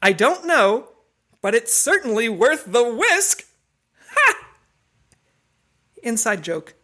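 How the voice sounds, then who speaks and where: A woman's voice: a little high, very animated, slow, bright, forceful tone, exaggerated voice. A woman playing an earnest, quirky secret agent in a comedic audio drama. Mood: wry.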